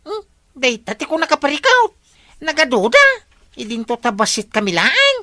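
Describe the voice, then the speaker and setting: A cheeky, brisk village watch member in an Ilocano radio comedy. The voice is bright and forceful, fairly high, with lively swings. She has an Ilocano accent. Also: dry recording, clean studio sound, heavily acted. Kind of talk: comedy radio drama acting.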